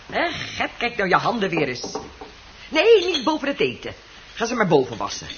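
Sharp-tongued nagging housewife, curt and scolding, swooping intonation, radio drama, dull old recording. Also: female voice, mid-range voice, wildly swooping pitch, moderate pace, a little hiss or hum. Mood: exasperated, scolding. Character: nagging, exasperated housewife scolding her husband. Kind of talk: Dutch radio play acting.

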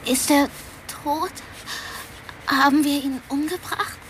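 Casting: young naive female streetwalker, very high slow voice, whiny yet stubborn, radio drama with ambient noise. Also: fairly even intonation, a bit bright, rough recording. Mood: anxious, plaintive.

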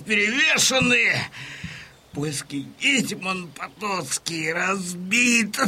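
Bright, forceful Cossack veteran. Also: male voice, fairly high pitch, full character voice. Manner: declamatory, emphatic, deliberate. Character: wounded Cossack veteran, proud, stirring storyteller bringing war news. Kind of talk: Russian audio drama acting.

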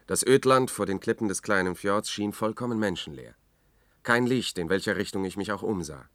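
An actor playing a sober pilot who narrates a radio play in the first person, in a measured, literary manner. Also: male voice, lowish voice, fairly bright tone, no echo. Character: sober male pilot recounting his night search.

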